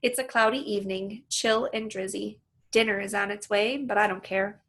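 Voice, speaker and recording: A woman reading a children's book aloud in an animated, storytelling manner. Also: medium pitch, normal speed. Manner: animated, acts out the dialogue. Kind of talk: expressive read-aloud narration with asides to listeners.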